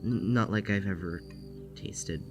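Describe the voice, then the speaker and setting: Shy, defensive young facility patient played by a man, low voice, guarded and hesitant, audio drama over music. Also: a little slow. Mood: reflective, awkward.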